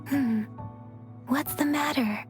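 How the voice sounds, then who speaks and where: Composed young heroine, high bright voice, soft-spoken, game music behind. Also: female voice, slow. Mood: calm. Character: calm gentle young woman ally. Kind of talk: game cutscene voice acting.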